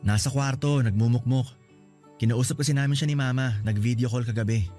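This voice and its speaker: A man's voice: medium pitch, brisk, warm tone, faint music behind, natural voice. A man with a Filipino accent playing a caring, teasing older brother in a radio drama. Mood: concerned, gentle.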